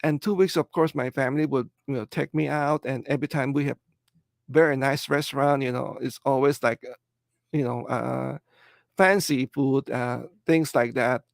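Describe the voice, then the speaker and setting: A middle-aged man from the Philippines with a Filipino English accent, hosting a casual livestream and answering viewer comments in a halting, earnest way, full of "uh" and "you know.". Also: medium pitch, steady tone, vocal fry, no room sound. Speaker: livestream host.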